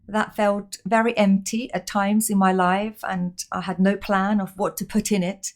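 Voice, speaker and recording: A woman's voice: mid-range voice, little pitch movement, unhurried. A woman from Austria, a podcast guest telling her personal story in an open, reflective way.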